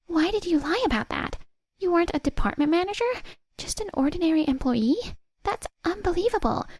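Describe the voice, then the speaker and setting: A woman's voice: very high voice, lively intonation, a little fast, darkish tone, pitched above the performer's own voice. A woman voicing an indignant, flustered young mistress, whiny and accusing, in a dramatised story. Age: young adult. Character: shrill, flustered young mistress throwing a tantrum.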